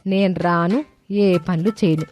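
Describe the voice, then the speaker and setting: A woman's voice: on the low side, steady tone, measured pace, a little mellow, natural voice. A woman voicing a lazy, grumbling mother-in-law in a moral story, scolding and complaining in colloquial speech. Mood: irritated. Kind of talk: dramatised moral story acting. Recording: music behind the voice.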